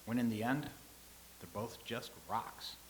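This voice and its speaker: A man reading the part of a calm, philosophical old-time scribe, speaking in a measured, lecturing way. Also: mid-range voice, a little hiss or hum, natural voice, rough recording. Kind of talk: staged reading.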